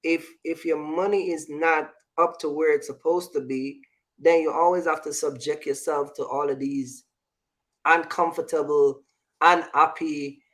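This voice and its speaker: Higher-pitched man talking casually. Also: fairly even intonation, unhurried, fairly bright tone. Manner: repeats phrases, checks understanding often. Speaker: show host. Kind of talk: casual opinionated discussion.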